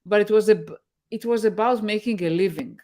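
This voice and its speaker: A middle-aged woman from Israel, a guest on a video-call interview, speaking thoughtfully with many pauses and fillers. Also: mid-range voice, dark tone. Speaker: guest, tech mentor.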